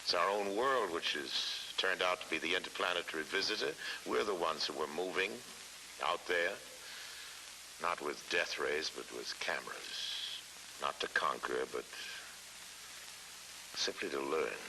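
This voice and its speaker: A man reading scripted documentary narration in a measured way, with dramatic pauses. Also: low voice. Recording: hissy vintage 1970s film soundtrack.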